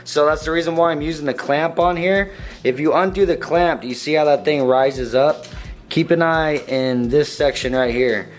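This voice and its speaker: A young man with a slightly hoarse voice, walking through a car build in a casual vlog and tossing in dude and bro. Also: a little high. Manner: laid-back slangy, says dude and bro. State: slightly hoarse, losing his voice.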